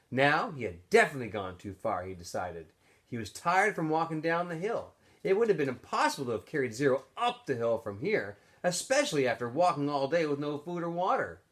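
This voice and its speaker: A man reading a story aloud like a teacher to students, in expressive narration. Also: fairly high pitch.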